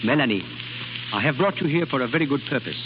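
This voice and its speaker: An actor playing a suave, easily exasperated scheming duke in 1930s radio drama style. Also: male voice, mid-range voice, expressive, fast, close to an everyday voice. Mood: earnest, formal. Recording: very noisy, dull 1930s radio recording.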